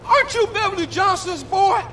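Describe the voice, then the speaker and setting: An actor playing a muddled, irritable old gun dealer in a video game, with halting, confused delivery. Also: male voice, very high-pitched, lively intonation, bright, forceful tone, slight background noise, full character voice. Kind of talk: video game cutscene acting.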